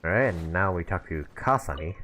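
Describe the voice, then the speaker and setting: A man's voice: low voice, expressive, a little fast, sharp tone. A man giving casual, joking live gameplay commentary as a streamer, often laughing as he talks. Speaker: game streamer.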